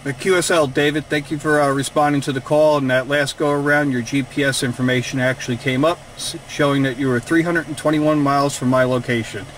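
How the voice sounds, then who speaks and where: A man's voice: medium pitch, brisk, few pauses, rough recording. A man hosting an instructional radio gadget demonstration, speaking from inside a vehicle. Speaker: radio hobbyist video host. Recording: steady vehicle and fan noise.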